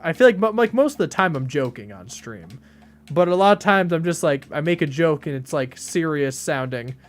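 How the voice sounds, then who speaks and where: A young man in his late teens chats casually while he streams a game. His voice sits slightly higher than most men's. He jokes with a flat, deadpan delivery and rambles through fillers and restarts. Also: normal speed. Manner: deadpan, flat delivery even when joking. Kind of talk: casual live-stream chat.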